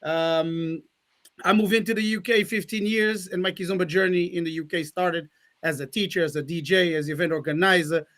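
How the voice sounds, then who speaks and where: A man's voice: high-pitched, steady tone, moderate pace, a bit bright. A man from Mozambique who grew up in Portugal, talking casually as a talk show co-host. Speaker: senior co-host, dance teacher and DJ.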